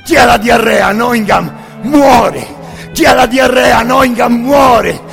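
A man with a gravelly voice performing an intense, incantatory scripted monologue in the second person, repeating phrases between long pauses. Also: very high voice, fairly bright tone, raised voice. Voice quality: gravelly, creaky. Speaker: actor and storyteller of a solo radio theatre monologue. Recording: music bed under the voice. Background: music.

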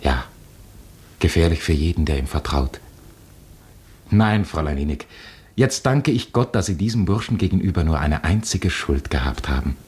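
Very deep, husky, earnest chaplain. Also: male voice, expressive, moderate pace, pauses often, darkish tone, heavily acted. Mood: solemn, earnest. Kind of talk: German radio play acting.

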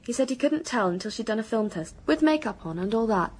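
A woman's voice: on the high side, expressive, quick pace, a little mellow, slightly rough. An actress playing an anxious, earnest English schoolgirl in a radio drama, confiding with an apologetic manner.

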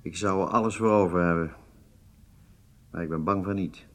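A male actor playing a pessimistic but firm intelligence colleague in a radio drama, husky, reasoning things out emphatically. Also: sits low, fairly even intonation, normal speed, slight background noise, natural voice. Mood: resigned.

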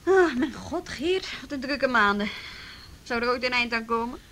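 An actress playing a busy, wry youth police officer in a naturalistic Dutch radio drama. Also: female voice, fairly high pitch, lively intonation, normal speed, fairly bright tone, a little hiss or hum. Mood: wry, chatty. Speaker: actor in a radio play.

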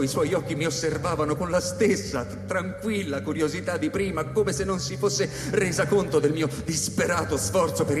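A man acting as a troubled scientist tells his story of dread in a theatrical, fairly high voice. He shifts from hushed confiding to urgency. His words ring in a long reverb, with music clearly behind. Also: natural voice. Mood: hushed, grave. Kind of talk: dramatic first-person narration in radio theatre.

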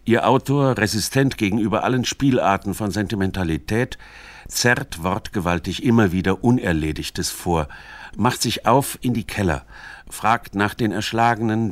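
Low-voiced male radio narrator, dry studio. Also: no echo. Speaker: documentary narrator. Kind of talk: scripted radio feature narration. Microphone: close studio microphone.

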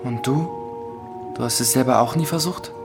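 A man's voice: medium pitch, normal speed, creaky, music in the background, close to an everyday voice. An actor playing an earnest young apprentice who tells his own story reflectively in a German radio play. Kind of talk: radio play narration and dialogue.

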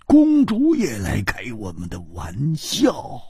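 A man voicing an excitable, panicky young swordsman who blusters and stammers in an audiobook story. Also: mid-range voice, slow pace, creaky, breathy edge, no room sound, studio quality, exaggerated voice. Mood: flustered complaint. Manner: blustering, exclamatory, repeats words. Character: excitable, panicky hard-drinking young swordsman and reluctant groom.

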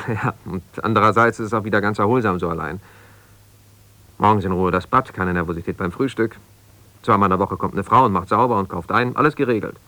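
A man's voice: on the low side, bright, forceful tone, no room sound. An actor playing a middle-aged, self-justifying lawyer left by his wife, talking argumentatively and insistently in a naturalistic radio drama. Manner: argumentative, insistent, hesitating when conceding. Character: ambitious lawyer, abandoned husband, self-justifying, stubborn, defensive.

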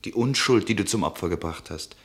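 An actor in a German radio play, playing a devoted, fatalistic traveller who pleads solemnly and intimately. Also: male voice, deep voice, lively intonation, a little fast. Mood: tender, fervent.

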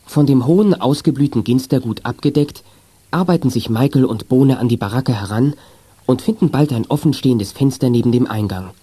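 A man's voice: mid-range voice, fairly quick, mellow, slightly rough. A man narrating a children's audio drama from a script, as the storyteller.

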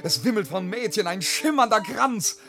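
An actor playing a dreamy, boastful, restless peasant lad in a radio play, with rough voice and declamatory delivery that swings from brooding murmur to exuberant cries. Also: male voice, mid-range voice, lively intonation, unhurried, a bit bright, faint music behind. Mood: exhilarated. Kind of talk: theatrical radio drama acting in verse.

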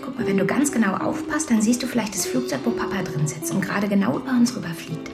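Sanfte, geduldige junge Mutter. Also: female voice, mid-range voice, lively intonation, fast talker, gravelly, over loud music. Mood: soothing. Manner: gentle, coaxing. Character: patient young mother soothing her child.

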